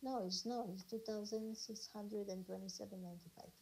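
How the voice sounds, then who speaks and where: Woman student reading numbers slowly, kinda gravelly voice, light hiss on the call. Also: mid-range voice, steady tone, darkish tone, poor audio. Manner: hesitant, many fillers, reads numbers aloud. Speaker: accounting student. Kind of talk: working through a problem aloud.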